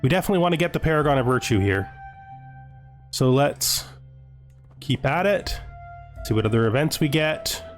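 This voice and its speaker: A man giving casual video game commentary, thinking aloud as he deliberates his choices. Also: medium pitch, animated. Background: music.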